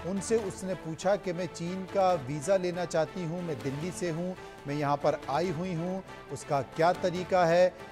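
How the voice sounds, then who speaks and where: A man's voice: high voice, fairly even intonation, measured pace, hardly any pauses, music in the background. A man anchoring live television news in an emphatic manner, repeating phrases for effect.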